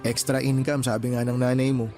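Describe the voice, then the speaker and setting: A man plays an earnest young husband and father-to-be in a radio love story. He talks in an easygoing, conversational way, like a devoted hard worker. Music sits softly behind him. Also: medium pitch, level tone, fairly quick, close to an everyday voice. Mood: hopeful, determined. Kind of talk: Tagalog radio romance drama acting.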